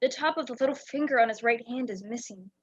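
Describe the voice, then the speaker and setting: A woman's voice: on the high side, fast, slightly rough. A young woman playing a frightened, secretive spy in an amateur radio play, speaking urgently and dramatically. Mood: urgent, grave. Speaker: student actor in a school radio play. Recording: dull lo-fi home recording.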